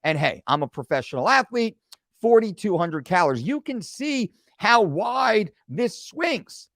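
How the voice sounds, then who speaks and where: A man's voice: sits high, big pitch swings, unhurried, fairly bright tone, no room sound, studio quality. A man in his forties talking through a screen-share fitness lesson, with joking asides. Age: 40s. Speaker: fitness coach.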